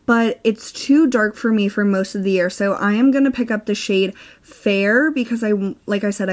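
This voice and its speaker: A young woman in her twenties showing her makeup collection to the camera at home, chatty and gushing, with lots of fillers. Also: mid-range voice. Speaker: beauty vlogger. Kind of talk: casual makeup collection tour. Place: indoors at home beside a makeup storage unit.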